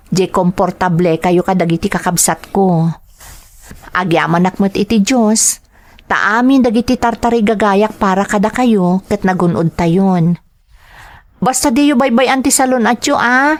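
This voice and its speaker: A woman's voice: medium pitch, close to an everyday voice. Devoted young daughter played by a woman. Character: devoted young daughter working abroad for her family. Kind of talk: dramatized audio drama with narrated passages.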